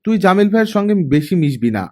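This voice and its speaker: A man's voice: sits high, very animated, racing, dark tone, voice pushed up. A man voicing a stern, guarded young woman, an elder sister with a curt, admonishing manner, in an audiobook story. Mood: cautioning, serious.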